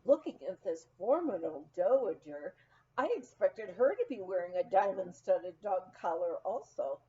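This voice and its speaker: A woman reading a humorous memoir aloud as scripted narration, in an expressive, storytelling manner. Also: medium pitch, muffled recording. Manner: expressive, unhurried storytelling with acted quotes. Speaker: audiobook reader.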